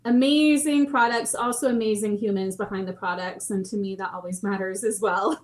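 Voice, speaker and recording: A woman hosting a live podcast, bubbly and quick to laugh as she reads out chat comments. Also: mid-range voice, steady tone, slight background noise. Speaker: podcast host.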